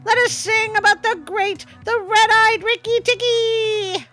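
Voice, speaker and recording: Woman putting on a high, chirpy little male bird. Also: fairly even intonation, unhurried, pushed and bright, heavily acted. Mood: exuberant singing. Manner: exuberant, singsong. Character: cheerful feather-brained little male singing bird.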